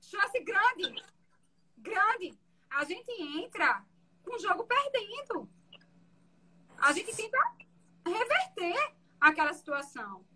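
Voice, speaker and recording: A woman defense lawyer with a soft voice, explaining her courtroom methods in an interview. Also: extremely high pitch, big pitch swings, slow, long pauses.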